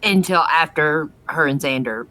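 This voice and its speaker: A woman's voice: low voice, measured pace, pushed and bright. A woman co-hosting a podcast, recapping and discussing in an informal, chatty way. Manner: informal, uses y'all. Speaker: podcast co-host. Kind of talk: casual fan discussion.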